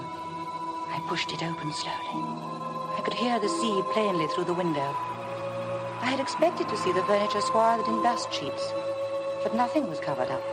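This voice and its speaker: An actress playing a meek, nervous young English wife, refined and hesitant, in 1950s radio drama style with a British accent. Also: female voice, lowish voice, natural voice.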